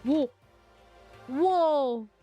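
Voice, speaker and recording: A woman streaming a first playthrough of a video game, her nose stuffy and congested. She reacts excitably, gasping and laughing often. Her voice sits fairly high, with faint music in the background. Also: extremely slow. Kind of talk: casual gameplay commentary.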